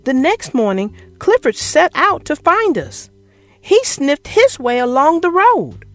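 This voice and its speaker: Animated high-pitched woman reading a children's story. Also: measured pace, soft background music. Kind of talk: expressive read-aloud of a picture book.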